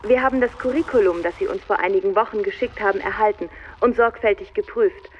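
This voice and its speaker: An actress playing a businesslike company secretary making a formal phone call in a radio drama, official and precise. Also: female voice, medium pitch, fast, a little hiss or hum, close to an everyday voice. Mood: formal, courteous.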